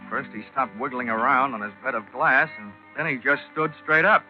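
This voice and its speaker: An actor playing a wisecracking, hardboiled male investigator in a 1940s radio drama, with a wry, deadpan, tough manner. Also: mid-range voice, normal speed, loud music behind the voice, close to an everyday voice. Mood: wry narrating. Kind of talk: 1940s radio detective narration and acting. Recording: dull old 1940s radio recording.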